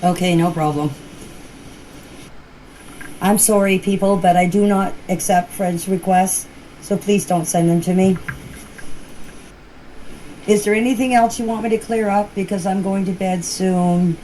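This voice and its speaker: A woman's voice: mid-range voice, fairly even intonation, unhurried, long pauses, dark tone. A woman speaking informally and emphatically in voice messages, repeating herself for emphasis and using colloquial, non-standard grammar. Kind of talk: informal voice messages answering questions in a group chat. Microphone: voice clips recorded for a group chat. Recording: noisy informal voice-message recording.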